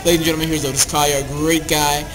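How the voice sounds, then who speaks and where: A man's voice: fairly high pitch, little pitch movement, racing, low-quality recording. A man hosting a podcast in a casual, chatty and playful way, as if introducing a friend. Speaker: podcast host. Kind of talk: casual chat introducing a guest.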